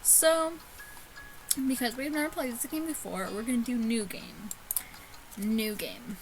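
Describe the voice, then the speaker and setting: A woman with a high voice hosts a gaming video in a casual, chatty style. She stumbles and restarts when reading on-screen text aloud. Music plays clearly behind her voice. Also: expressive, a little slow, poor audio. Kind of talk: casual let's-play commentary.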